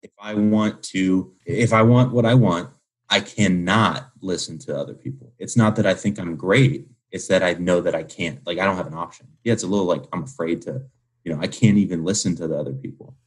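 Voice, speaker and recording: A man in his twenties with a deep, husky voice. He speaks as a guest in a casual interview, candid and informal, leaning on slang and filler words. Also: fairly quick. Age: 20s. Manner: informal slangy speech peppered with "like". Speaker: podcast guest, young entrepreneur.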